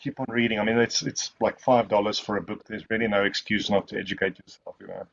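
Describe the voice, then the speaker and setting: A man's voice: on the low side, steady tone, fast, vocal fry, a little hiss or hum. A man whose first language is Afrikaans, answering webinar questions in a rambling way with many restarts. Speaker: guest speaker. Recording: muffled lo-fi call audio.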